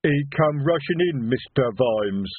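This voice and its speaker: A man voicing a slow, loyal, apologetic troll sergeant with a rumbling voice in a storybook reading. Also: expressive, slightly rough, dry recording. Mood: apologetic, dutiful. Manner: slow, plodding, simple grammar. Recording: narrow phone-like band.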